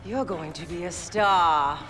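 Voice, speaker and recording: A woman playing a smooth-talking, pushy promoter in a game cutscene. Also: on the low side, slow pace, in a large hall, over loud music. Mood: coaxing.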